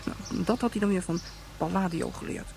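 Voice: mid-range voice, fairly quick, gravelly, a little mellow, a little hiss or hum, close to an everyday voice. An actor in a radio drama playing a naive, chatty child who muses aloud in childlike, repetitive phrases. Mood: musing, earnest.

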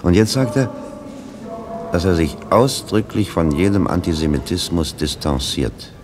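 Timid bystander, very low pitch, cautious, placating, radio drama, noisy ambience. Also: male voice, lively intonation, a little slow. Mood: dutifully reporting. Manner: hesitant, cautious, reporting. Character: timid, placating bystander relaying a public speech. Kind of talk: German radio play acting.

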